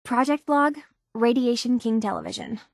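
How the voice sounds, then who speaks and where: A dry, close recording of an earnest young woman in a video game. Her voice sits a little high and sounds natural and conversational, with a hesitant edge, like a thoughtful tinkerer working out who she is. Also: animated, normal speed, vocal fry, no room sound. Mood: chatty, tinkering. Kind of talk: naturalistic game dialogue acting.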